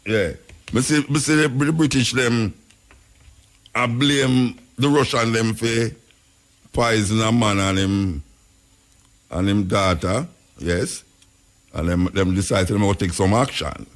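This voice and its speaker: A man's voice: lowish voice, slow pace, long pauses. A man with a Jamaican accent hosting a radio show, rambling through casual commentary in patois with frequent chuckles. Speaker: radio host.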